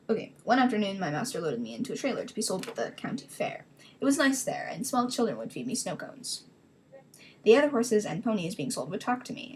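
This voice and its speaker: A woman's voice: medium pitch, slight background noise, rough recording. A woman giving a dramatic reading of her own childhood story, rambling into self-mocking casual asides.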